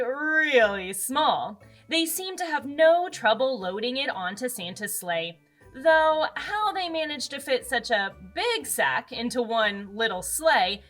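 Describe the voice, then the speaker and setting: An American woman tells a picture-book story to young children as a read-aloud host. Her voice is fairly high and highly expressive, and she takes her time. Music plays behind her. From Arizona, United States.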